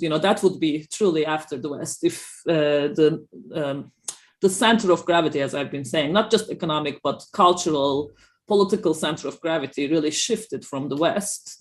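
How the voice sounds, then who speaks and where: An author on a discussion panel who talks hesitantly, leaning on fillers like "you know" and "uh". The voice sits at a middle pitch. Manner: hesitant, filler-heavy, laughs lightly.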